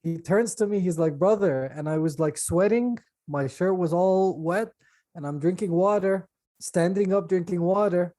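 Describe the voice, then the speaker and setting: A man from the United States, a podcast co-host telling stories in casual chat, animated and jokey. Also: sits high, moderate pace.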